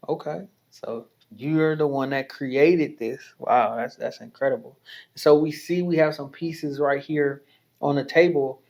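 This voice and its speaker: A man's voice: fairly high pitch, fairly even intonation, a little slow, a little mellow. A man hosting a podcast, leading an interview in a chatty, encouraging way, often adding "right?" and "you know". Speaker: podcast host.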